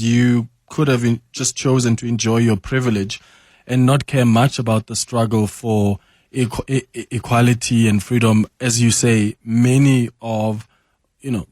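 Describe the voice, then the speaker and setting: A man's voice: mid-range voice, darkish tone, no room sound. A South African man hosting a talk radio show, listening attentively and then asking probing questions. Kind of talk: interviewing a guest. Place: radio studio.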